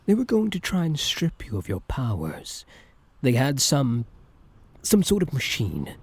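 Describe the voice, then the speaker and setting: A man playing an obsessive, menacing yandere supervillain in an intimate, theatrical ASMR roleplay, with a breathy, deliberate delivery. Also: mid-range voice, huge pitch swings, no room sound, full character voice. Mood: mocking.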